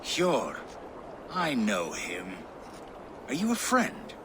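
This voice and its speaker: Bitter merchant, video game, medium pitch, dismissive, noisy outdoor background. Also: male voice, huge pitch swings, extremely slow, heavily acted. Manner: cold, dismissive. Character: surly, bitter male merchant. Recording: noisy outdoor town ambience.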